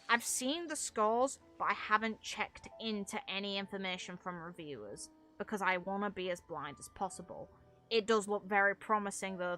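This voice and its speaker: A woman's voice: medium pitch, sharp tone. A British woman chatting casually while streaming a game, with a blunt, opinionated manner and some mild swearing.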